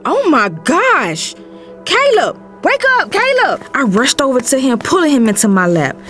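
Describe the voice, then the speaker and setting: An actress playing a protective, exasperated young woman in an audio drama, speaking in an expressive, emotive, slangy way as she narrates family turmoil. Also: medium pitch, natural voice. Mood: alarmed, frantic.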